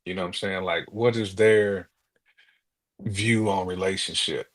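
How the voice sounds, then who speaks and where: An American man co-hosting a podcast in casual conversation, telling things in an animated, colloquial way with imagined quotes. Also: low voice, unhurried. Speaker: podcast co-host.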